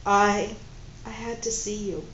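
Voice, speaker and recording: A woman voicing a grieving man who pleads gently and haltingly. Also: mid-range voice, fairly even intonation. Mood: pleading. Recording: dull, roomy recording with faint hum.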